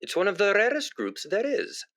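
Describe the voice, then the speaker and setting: An actor playing a brisk, patient doctor in a British radio comedy, formal, explanatory and reassuring. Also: male voice, high-pitched, huge pitch swings, fairly bright tone, full character voice. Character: brisk, patient doctor persuading a blood donor.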